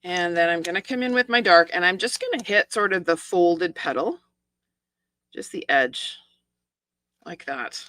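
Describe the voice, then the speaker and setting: A middle-aged woman with a hoarse, croaky voice leads a casual craft tutorial, talking through each step with friendly asides. Her voice sits a little low but sounds bright and forward. The sound is dry and close, with no room echo. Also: lively intonation, measured pace, pauses often. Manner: chatty, explains each step with asides and small laughs. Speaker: stamping and card-making demonstrator.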